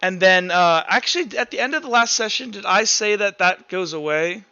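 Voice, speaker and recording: A man running a tabletop game as game master, narrating in a casual, halting, conversational way. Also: high voice, lively intonation, pushed and bright, smooth, no echo, highs cut off.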